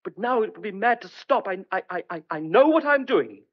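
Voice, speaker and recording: A man's voice: high-pitched, animated, a little fast, slightly rough. An actor playing a desperate, stubborn but tender man on the run, speaking earnestly and hesitantly in old-fashioned clipped phrases in a radio thriller. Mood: desperate, defiant. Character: desperate fugitive man wrongly suspected of murder, stubborn, tender. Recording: dull lo-fi old radio drama recording.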